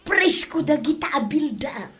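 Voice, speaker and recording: An actress with an Ilocano accent playing a flirty widowed street seafood vendor in a radio comedy drama. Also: female voice, high voice, expressive, unhurried, a bit bright. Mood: coaxing.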